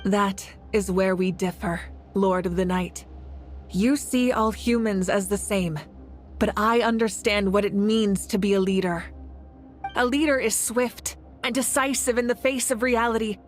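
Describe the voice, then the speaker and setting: A woman's voice: mid-range voice, measured pace, faint music behind, close to an everyday voice. A woman playing a resolute, selfless young leader in a video game, earnest and firm. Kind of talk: scripted video game voice acting.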